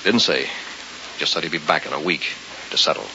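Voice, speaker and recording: An actor playing a frightened, bewildered man with a gravelly voice, terse and defensive, in old-time radio thriller style. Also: rumbling low, fairly quick, sharp tone, heavily acted. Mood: uneasy, bewildered. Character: frightened, bewildered man haunted by a supernatural bargain. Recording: hissy, dull old radio recording.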